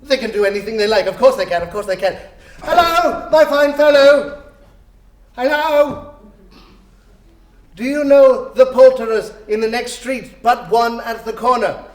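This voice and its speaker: A man's voice: very high voice, measured pace, long pauses, voice pushed up. A man playing an elated, reformed old miser in a storybook reading, exclaiming and repeating himself with glee. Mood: gleeful, excited. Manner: exclamatory, gleeful, repeating phrases. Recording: echoey room.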